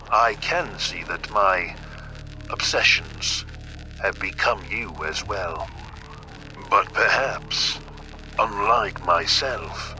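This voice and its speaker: An actor playing an older, weary dying father, giving a grave, formal farewell to his son in an audio drama. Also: lowish voice. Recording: noisy recorded message with hum.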